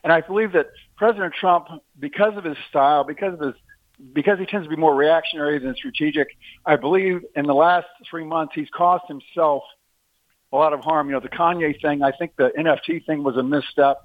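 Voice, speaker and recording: A man's voice: fairly high pitch, fairly even intonation, a little fast, no echo. A man on a phone line, a guest arguing politics in a casual talk-show chat and leaning on sports comparisons. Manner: talkative, uses sports analogies.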